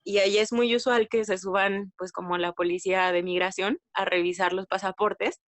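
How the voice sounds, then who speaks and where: A woman from Mexico with a Mexican accent, chatting casually as a podcast guest, retelling anecdotes in an animated way with slang and quoted dialogue. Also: mid-range voice, moderate pace, no echo. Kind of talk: casual conversational storytelling.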